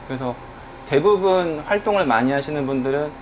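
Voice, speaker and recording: A man's voice: fairly high pitch, lively intonation, brisk, lo-fi sound, slight background noise. A man in his thirties, a guest on a talk show, speaking earnestly and reflectively about his work. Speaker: guest, online community manager. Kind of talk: casual conversation.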